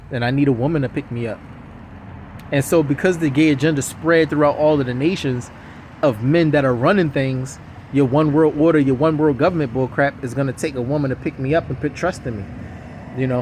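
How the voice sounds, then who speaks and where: Man, fairly high voice, rambling vlog monologue, repetitive and insistent, outdoors on the street. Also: darkish tone, a little hiss or hum. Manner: repetitive, insistent, circles back to the same points. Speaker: vlogger. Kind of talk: casual rambling monologue to camera.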